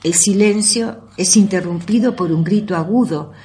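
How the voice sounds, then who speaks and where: A woman's voice: mid-range voice, moderate pace, dark tone. A woman narrating an amateur radio play from a script. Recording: audible background noise.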